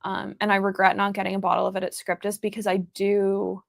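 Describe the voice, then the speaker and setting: A woman hosting a casual livestream chat over a video call, relaxed and rambling. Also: medium pitch, fairly quick, vocal fry, dry recording, clean studio sound. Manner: relaxed and rambling, with frequent fillers.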